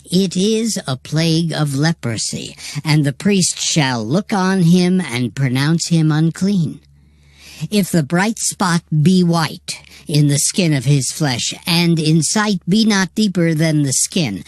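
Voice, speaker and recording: A woman giving a measured, formal, dramatized reading of King James scripture. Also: deep voice, darkish tone, no room sound, clean studio sound.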